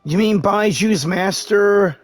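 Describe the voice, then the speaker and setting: A woman gamer casually voicing the player character's lines in a let's-play, halting with fillers. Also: medium pitch. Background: game music.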